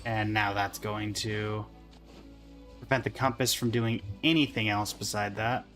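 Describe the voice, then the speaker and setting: A man's voice: lowish voice, fairly even intonation, measured pace, fairly bright tone, soft background music. A man doing casual livestream game commentary, talking in short remarks to himself and to the characters on his screen.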